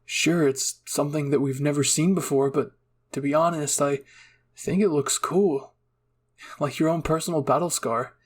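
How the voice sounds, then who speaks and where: A man acts out a caring young boy in an audio fan-fiction roleplay. His voice sits on the high side with a husky edge. He speaks in an earnest, coaxing way, as if comforting a close friend. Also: fairly even intonation, normal speed, close to an everyday voice. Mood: reassuring. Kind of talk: acted dialogue in a fan-fiction audio reading.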